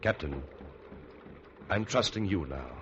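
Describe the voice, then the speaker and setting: Casting: a very low-voiced, composed prisoner, earnest and persuasive, old radio thriller with heavy background noise. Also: male voice, animated, slow. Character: calm, determined male prisoner, a gentlemanly accused murderer. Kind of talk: old-time radio drama acting. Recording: noisy, muffled vintage radio recording.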